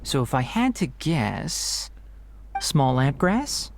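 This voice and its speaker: A man's voice: medium pitch, sing-song, slow, creaky, slight background noise, full character voice. An actor playing a scholarly young man in a game, analytical and dryly impatient, with precise, articulate speech. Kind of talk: game cutscene acting.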